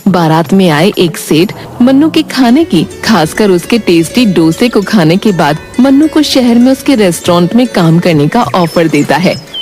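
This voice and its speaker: A woman's voice: medium pitch, a little mellow. Lady narrating a kids moral story, scripted, mid voice, bg music.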